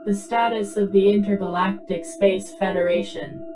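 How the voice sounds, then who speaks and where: A woman's voice: medium pitch, steady tone, normal speed, lightly acted, rough recording. A woman voicing an impersonal, official science-fiction debriefing system with formal, terse delivery. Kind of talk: scripted dramatic reading, clipped transmission prompts. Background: background music.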